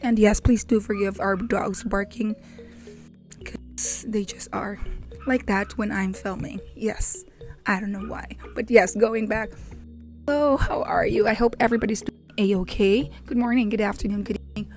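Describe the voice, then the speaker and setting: Hoarse young woman, playful and chatty. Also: medium pitch, steady tone, normal speed, darkish tone, soft background music. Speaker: reaction video host. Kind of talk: casual chat to camera. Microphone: handheld microphone.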